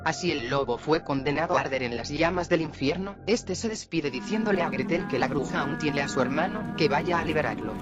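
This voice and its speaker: Higher-pitched man recapping a story. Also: dull audio. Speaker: video narrator.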